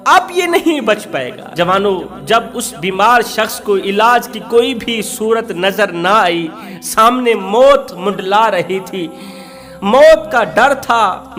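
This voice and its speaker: A man's voice: very high voice, fairly bright tone. A man preaching an emotional Islamic storytelling sermon, impassioned and emphatic, repeating phrases for effect.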